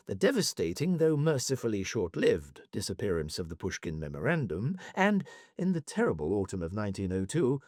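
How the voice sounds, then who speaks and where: Earnest English Edwardian gentleman companion for audio drama. Lively intonation, literary diction, close dry sound. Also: mid-range voice, no echo. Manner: formal, literary phrasing. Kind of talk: period audio drama acting.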